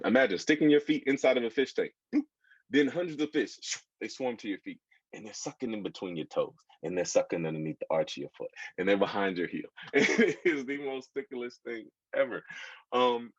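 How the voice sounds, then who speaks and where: Low-voiced American man, echoey dull recording. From United States. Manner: conversational, addresses listeners with questions. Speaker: children's book author giving a talk. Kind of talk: informal audience presentation with slides. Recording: dull, echoey room sound.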